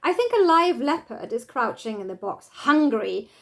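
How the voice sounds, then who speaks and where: Woman playing high-pitched, eager storybook child. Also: big pitch swings, normal speed, vocal fry, a bit bright, exaggerated voice. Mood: excited, playful. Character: eager, playful boys and girls in a children's story.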